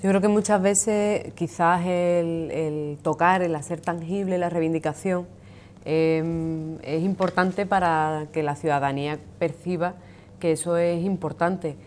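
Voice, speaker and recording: A woman with a Sevillian Andalusian accent, a trade union leader giving deliberate interview answers with frequent fillers. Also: lowish voice, steady tone, darkish tone, a little hiss or hum. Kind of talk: measured political interview answers. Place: television studio.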